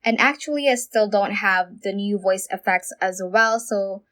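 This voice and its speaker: A woman's voice: mid-range voice, unhurried, a bit bright. A woman presenting a step-by-step phone app tutorial as a voice-over. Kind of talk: how-to screen tutorial narration.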